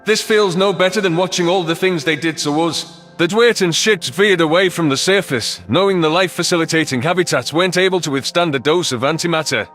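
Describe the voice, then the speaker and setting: Higher-pitched man narrating dramatic sci-fi. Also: a little fast, a bit bright. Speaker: audiobook narrator. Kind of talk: scripted dramatic first-person sci-fi narration. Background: background music.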